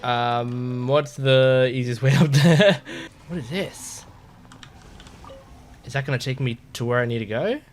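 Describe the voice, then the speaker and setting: A man commentates casually on a game as a streamer would. His voice sits at an average male pitch, with game music faintly audible underneath. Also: measured pace, long pauses. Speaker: gaming reaction streamer.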